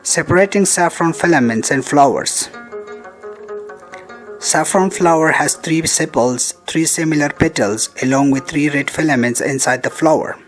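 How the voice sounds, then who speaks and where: A man's voice: fairly high pitch, moderate pace. A man reading scripted narration for an instructional film in a formal, step-by-step way. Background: background music.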